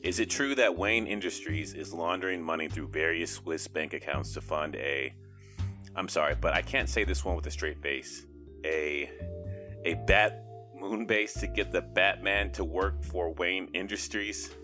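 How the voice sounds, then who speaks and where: A man plays a self-deprecating newspaper reporter with a sly streak in an audio drama. His voice is low and his delivery polite and unhurried, with music clearly playing underneath. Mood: businesslike.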